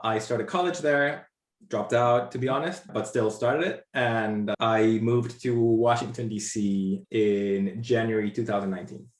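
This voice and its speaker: A young man from Argentina, speaking as an interview guest in a relaxed, rambling way with many "like" fillers. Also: on the low side, a little slow, echoey room. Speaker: interview guest, brand designer. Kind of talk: casual interview conversation.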